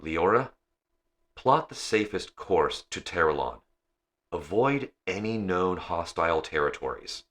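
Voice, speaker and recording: A commanding female starship captain from a science fiction audiobook, read by a man. The voice is deep and forceful, paced slowly, with weary resolve. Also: expressive.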